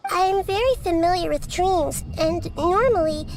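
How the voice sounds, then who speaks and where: A woman playing a small, childlike deity in an anime game, curious, gentle and thoughtful as she explains things. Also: extremely high pitch, unhurried, slight background noise. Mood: puzzled, explaining. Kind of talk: scripted anime game dialogue. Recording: game music under the voice.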